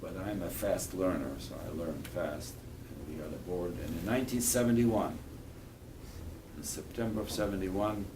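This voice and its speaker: Deep-voiced older man. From Poland. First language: Polish. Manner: halting, restarts phrases, earnest. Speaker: guest speaker. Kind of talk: talk and Q&A with schoolchildren. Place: school room. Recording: distant mic with background noise.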